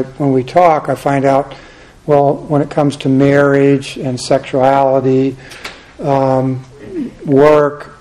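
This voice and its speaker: A soft-spoken man teaching a church Bible class and leading a prayer, halting with frequent fillers. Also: mid-range voice, poor audio.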